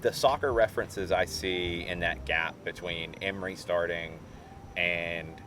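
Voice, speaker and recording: A man's voice: deep voice, unhurried, pushed and bright, slight background noise. A man in an informal interview, explaining local soccer history in a conversational way.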